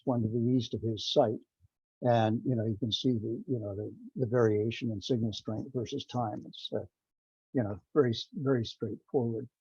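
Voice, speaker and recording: Husky, mellow man presenting on a call. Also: medium pitch. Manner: halting, rambling delivery. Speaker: presenter on a technical teleconference. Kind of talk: informal slide presentation. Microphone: remote teleconference call. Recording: dull, roomy conference-call audio.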